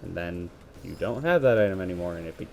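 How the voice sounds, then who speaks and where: A man's voice: sits low, expressive, fast talker, creaky. A man with a scratchy voice giving rambling play-by-play commentary while gaming, talking into a headset. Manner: rambling, self-correcting play-by-play. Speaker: gaming video creator.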